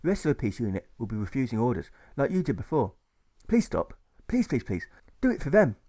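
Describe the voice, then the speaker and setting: A quietly stubborn young objector urges his friend with firm, pleading words, as a man puts him on. The voice sits a little above the narrator's usual tone. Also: mid-range voice, very animated, brisk, dry recording, clean studio sound. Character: stubborn young male conscientious objector, calm.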